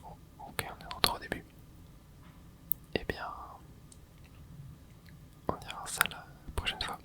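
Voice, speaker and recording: A host whispering casual ASMR commentary over gameplay, reacting excitedly and chatting to viewers. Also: takes it slowly. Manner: casual, chatty, self-correcting. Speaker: channel host.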